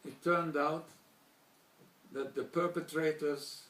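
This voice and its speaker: Older man from Slovakia, German his first language, deliberate formal speaker, slightly high voice, recorded from afar in an echoey hall. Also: fairly even intonation, fairly bright tone, far from the mic. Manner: measured, deliberate, with frequent pauses and restarts. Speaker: speaker recounting childhood memories. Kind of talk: formal talk.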